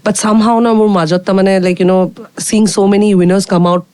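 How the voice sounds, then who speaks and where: Fast-talking husky woman. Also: steady tone, a little mellow, no echo. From Guwahati, Assam, India. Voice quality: husky with a low baritone edge. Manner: rapid and chatty, leaning on "like" and "you know". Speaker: independent singer and co-host. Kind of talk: casual conversation.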